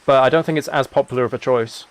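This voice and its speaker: A young British man chatting casually as he streams a game, rambling and thinking out loud as he goes. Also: medium pitch, fast talker. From United Kingdom. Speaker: live streamer.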